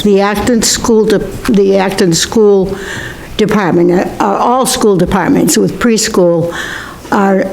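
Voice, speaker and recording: An older woman answering as a school committee candidate at a local forum, speaking haltingly with restarts. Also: mid-range voice, a little mellow, a little hiss or hum, low-quality recording. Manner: halting, restarts, clears throat.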